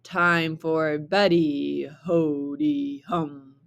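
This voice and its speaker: A woman voicing a big hungry giant from a storybook, booming and gruff, with slow, drawn-out words. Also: fairly even intonation, heavily acted. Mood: booming, menacing.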